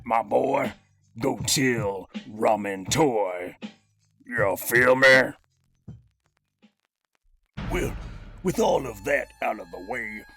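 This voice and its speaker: A man's voice: medium pitch, sharp tone, heavily acted. A man voicing a cocky, menacing cartoon master criminal who talks in theatrical street slang while presenting a scripted toy review. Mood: grateful, swaggering. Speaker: host of a toy figure review. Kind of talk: scripted in-character review with radio-drama flair.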